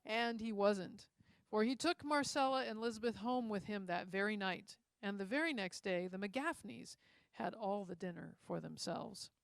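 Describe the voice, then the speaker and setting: A woman reads a story aloud with expressive storytelling delivery. Her voice sits at a middle pitch, and the recording is dry with little room sound. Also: unhurried, gravelly, dry recording. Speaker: story reader.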